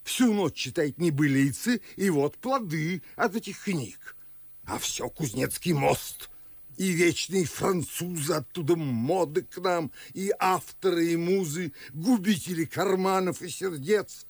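An older man acting a pompous, fretful, scolding widowed father in a classic verse radio play, with a husky, gravelly voice and a theatrical manner. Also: on the high side, a little slow, dry recording. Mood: indignant scolding. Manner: blustering, theatrical.

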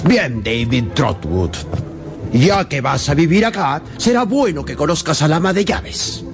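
An actor playing a genial gentleman and doting father in a radio drama. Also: fairly high pitch, very animated, measured pace. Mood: fond, proud. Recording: music behind the voice.

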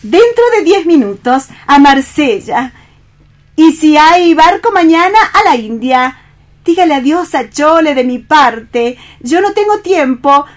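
A woman's voice: high voice, lively intonation, measured pace. An actress with a Spanish accent from Spain playing a bossy, flamboyant, seductive woman in a radio play reading. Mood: breezy, flirtatious. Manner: imperious, theatrical.

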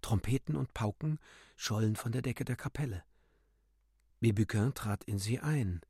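A man giving a solemn, declamatory and deliberate dramatic recitation of literary text for a radio play. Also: lowish voice, mellow, slightly rough, no room sound, studio quality. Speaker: narrator and reciter.

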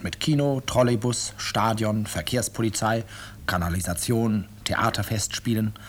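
An actor playing an urbane, ironic author who narrates his own story in a 1950s German radio drama, courteous and deliberate. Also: medium pitch, slightly rough.

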